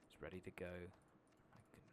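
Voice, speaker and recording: A man doing soft-spoken, sometimes whispered ASMR game commentary, muttering casually to himself at a close microphone. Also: sits low, level tone, normal speed, background noise. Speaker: host of a gaming ASMR playthrough. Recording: keyboard typing and mouse clicks behind.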